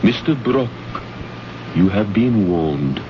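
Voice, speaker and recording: A lowish male voice as a stern, dignified alien monarch in a radio play. His speech is formal and grave but moves expressively in pitch. The old recording is muffled, with clear noise. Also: lively intonation. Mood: stern accusing. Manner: formal, grave, measured wording. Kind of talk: old-time radio drama acting.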